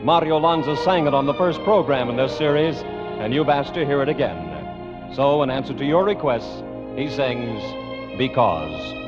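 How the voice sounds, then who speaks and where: A man's voice: mid-range voice, lively intonation, moderate pace. An American man working as a radio announcer, reading scripted show introductions and sponsor messages in 1950s broadcast style. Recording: dull old radio broadcast with music.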